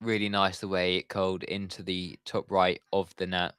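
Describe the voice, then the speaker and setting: A man's voice: deep voice, level tone, moderate pace, a bit bright, clean tone, no echo, studio quality. A young man giving live football commentary on his stream, chatty and talking to his viewers in the chat. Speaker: watchalong streamer.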